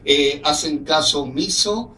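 A man's voice: on the high side, a little slow, sharp tone, slight background noise. Middle-aged man hosting a radio show from a small studio, earnest and indignant, a little far from the mic. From Colombia. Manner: earnest, indignant, speaks as a compatriot. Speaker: radio host. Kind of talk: radio talk show, reading a letter aloud. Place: small office studio with a desk.

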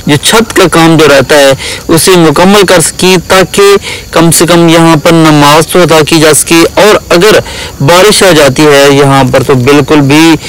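A man's voice: fairly high pitch, fairly even intonation, unhurried, a bit bright, smooth, rough recording. A man speaks in a slightly raised register. He makes a charity appeal, describing the situation plainly and asking for help in a pleading way. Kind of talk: spoken appeal describing a village mosque.

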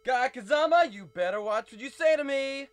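A squeaky sneering villain. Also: male voice, expressive, moderate pace, no room sound. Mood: menacing. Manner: threatening, sneering. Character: squeaky menacing male villain boss.

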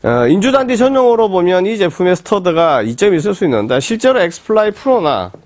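Hoarse man with a cold, lively voice. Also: high-pitched, fast talker, dry recording. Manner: rambling, with many fillers and restarts. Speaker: football boot reviewer. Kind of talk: casual product review talk. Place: small indoor shop room with shelves of boots.

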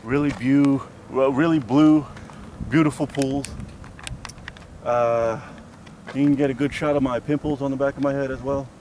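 A man's voice: mid-range voice, fairly even intonation, moderate pace, long pauses, a little mellow. A young man chatting casually and jokily to a handheld camera on an outdoor hiking trail, a little out of breath. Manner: chatty, jokey, self-mocking. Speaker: vlogger. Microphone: handheld camera mic. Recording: outdoor camera audio with clear background noise.

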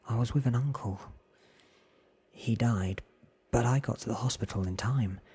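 A sickly young man, voiced by a male reader, talks in a very breathy, hushed tone. He is careful, earnest and candid, as in a softly read ASMR story. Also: medium pitch, normal speed. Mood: candid, resigned.

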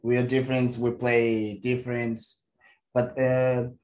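Mexican man speaking halting English. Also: mid-range voice, monotone, a little mellow. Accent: Mexican Spanish. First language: Spanish. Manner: halting, searching for English words, many restarts. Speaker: football player. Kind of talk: press conference answers.

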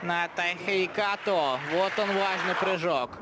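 A man giving live sports commentary in a relaxed, conversational way, often pausing to think aloud. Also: sits high, heavy background noise.

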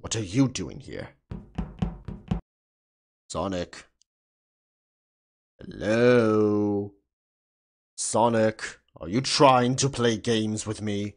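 Man playing a deep, pompous cartoon echidna warrior. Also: animated, very slow, long pauses, heavily acted. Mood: wary, frightened. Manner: pompous, stilted formal speech. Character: boastful, easily scared male echidna warrior. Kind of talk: fan-film cartoon acting.

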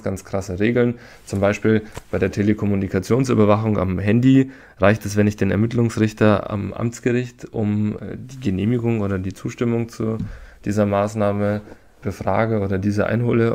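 Male legal expert for podcast interview, low-pitched with plenty of fry, explanatory delivery. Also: darkish tone.

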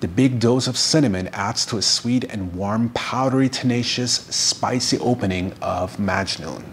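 Effusive low-voiced man reviewing fragrance. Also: measured pace, fairly bright tone, slight background noise. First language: Farsi. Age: middle-aged. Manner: effusive, savoring descriptions. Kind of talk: enthusiastic product review to camera. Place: indoor room, seated.

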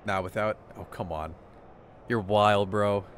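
A young man on a headset mic, chattering excitedly to his stream chat while playing. His voice sits low and easygoing, with a faint hum behind it. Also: a little slow. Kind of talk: casual live-stream commentary. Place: small room indoors.

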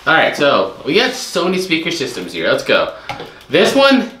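A man's voice: on the high side, wildly swooping pitch, normal speed. Guy chatting about what his stuff is worth, talking it through, echoey room, bit of hiss. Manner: chatty, estimating resale prices with a few restarts. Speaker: co-host reselling storage unit finds. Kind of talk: casual chat. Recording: echoey room with faint noise.

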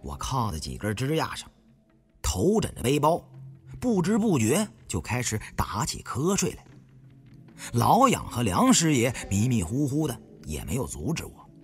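A man narrating a story as an audiobook, with expressive, theatrical phrasing. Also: mid-range voice, normal speed, soft background music. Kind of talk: dramatic first-person audiobook storytelling.

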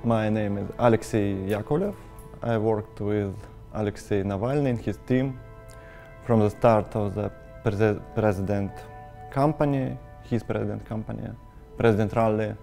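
A man with a Russian accent speaking English in an interview, halting and searching for words. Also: lowish voice, fairly even intonation, creaky, dark tone, faint music behind. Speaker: interviewee, film editor. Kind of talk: sit-down interview answers. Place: indoor studio space.

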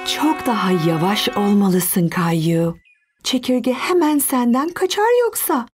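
A woman voicing a young boy's kind mother in a children's cartoon dub, gently instructive. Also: a little high, sing-song, takes it slowly, over music. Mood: encouraging.

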